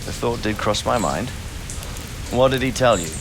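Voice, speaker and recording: An actor playing a measured, stern middle-aged nobleman with an authoritative manner in a video game drama. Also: medium pitch, animated, background noise, lightly acted. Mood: grave, thoughtful. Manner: authoritative, deliberate.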